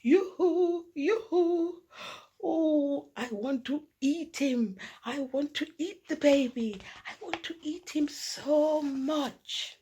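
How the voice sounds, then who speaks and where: A woman voicing a cheery, doting grandmother in a storybook, excitable and gushing, with a sing-song call. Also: high voice, slow pace, pitched above the performer's own voice. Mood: gushing, excited.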